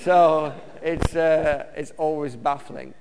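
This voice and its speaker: A man from Romania, preaching a personal testimony sermon in a halting way, with many fillers and restarts. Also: fairly high pitch, fairly even intonation, vocal fry, darkish tone, a little hiss or hum. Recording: long reverb of a hall.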